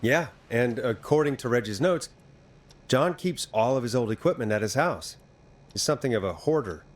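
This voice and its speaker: An actor playing a steady, reassuring but urgent radio host in a horror game drama. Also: male voice, mid-range voice, moderate pace, lightly acted. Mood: informative. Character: calm, earnest male 1980s radio DJ in crisis.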